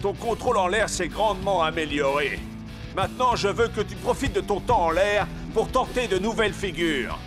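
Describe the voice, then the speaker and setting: A man's voice: high voice, animated, normal speed. A man voicing an encouraging, authoritative football coach in a cartoon, giving instructions. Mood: approving. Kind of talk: cartoon dubbing. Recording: music behind.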